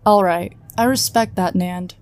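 An actress playing a loyal, protective guardian in a sci-fi audio drama, frank and teasing, like a close friend. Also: female voice, lowish voice, a little slow, darkish tone, natural voice. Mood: supportive, teasing.

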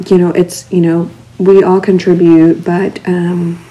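Low-voiced Texan woman co-hosting a podcast. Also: little pitch movement, unhurried, poor audio. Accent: light country Texan. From Texas. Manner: earnest, frank, trailing off in soft asides. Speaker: podcast co-host. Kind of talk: casual chat.

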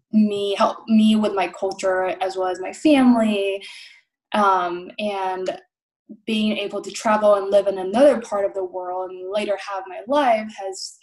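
A woman's voice: mid-range voice, a little mellow, rough recording. A young woman from Taiwan, a food blogger, talking casually as an interview guest, thoughtful with frequent "you know" and "um" fillers.

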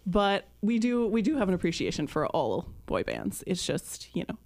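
A woman in her thirties from Canada, hosting a podcast in casual chat with many ums and pauses. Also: mid-range voice, big pitch swings, darkish tone. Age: 30s. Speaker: podcast host.

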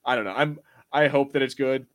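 A man's voice: medium pitch, fairly quick, fairly bright tone. Irreverent, sweary man chatting casually. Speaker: podcast host. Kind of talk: casual chat about fantasy film and TV.

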